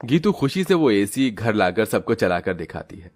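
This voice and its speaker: Male narrator, fairly low voice, scripted fable storytelling with faint music. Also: very animated, normal speed.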